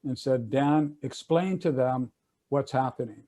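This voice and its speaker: A man's voice: mid-range voice, measured pace, warm tone. An older man on a video call, casually recounting legal history with many fillers and restarts. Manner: conversational, loose with fillers and restarts. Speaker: attorney and panelist.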